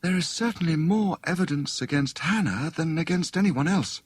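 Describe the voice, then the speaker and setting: A man voicing a thoughtful, inquisitive young woman amateur detective in an audiobook story, musing deliberately. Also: on the high side, lively intonation, slightly rough, pitched above the performer's own voice.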